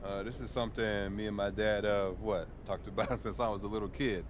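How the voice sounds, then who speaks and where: A man's voice: lowish voice, fairly quick, close to an everyday voice. A man playing a confident, easygoing champion basketball star, answering reporters in a casual, colloquial way. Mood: proud, relaxed. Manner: relaxed, colloquial, chuckling, self-assured. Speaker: pro athlete at a press conference. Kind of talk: casual press-conference answers. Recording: noisy outdoor crowd, street ambience.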